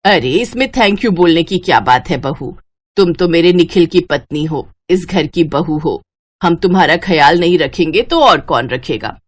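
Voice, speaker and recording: A woman's voice: fairly low pitch, breathy edge, voice pushed down. Woman playing a bossy, scheming mother-in-law.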